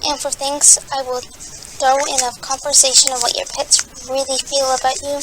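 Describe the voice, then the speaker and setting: A woman's voice: sits high, level tone, normal speed, noisy background, lightly acted. A woman playing a pleading charity queen. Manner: earnest, pleading. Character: self-styled queen pleading charity spokeswoman. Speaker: charity ad spokeswoman. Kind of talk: parody charity appeal.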